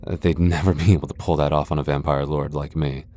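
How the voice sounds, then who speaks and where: A man's voice: extremely low pitch, little pitch movement, quick pace, mellow. A man playing a proud, sardonic vampire lord in a fantasy ASMR roleplay, with a dry, sighing delivery. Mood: defiant, weary. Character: proud, sardonic male vampire lord, cornered yet defiant. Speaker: voice actor in a roleplay audio.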